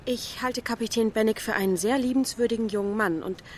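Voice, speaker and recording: A woman's voice: a little high, darkish tone, a little hiss or hum, natural voice. An actress playing a polite, self-doubting genteel young woman in a German radio drama, speaking formally and with care. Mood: polite, guarded. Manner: measured, careful, formal.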